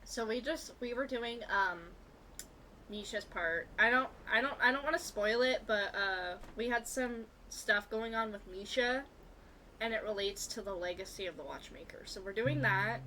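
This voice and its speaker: A woman's voice: on the high side, moderate pace, pushed and bright, slight background noise. A woman livestreamer chatting casually and informally with viewers during a gaming stream. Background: game music.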